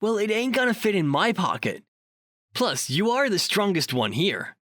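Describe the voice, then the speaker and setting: An actor playing a chatty, cocky male paranormal investigator in an audio drama, talking in a wordy, animated way. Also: sits high, normal speed, no echo, clean studio sound. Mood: cocky, teasing. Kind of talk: audio drama dialogue acting.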